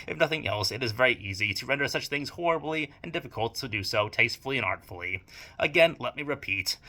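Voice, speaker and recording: A young man reading a scripted video essay with advice on audio drama, speaking in a measured, formal way and using dry, emphatic repetition. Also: mid-range voice, sharp tone.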